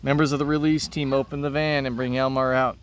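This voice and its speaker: A man's voice: mid-range voice, little pitch movement, brisk, clean tone, far from the mic. A man speaking as a teacher, reading a children's play aloud to his students. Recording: noticeable background noise.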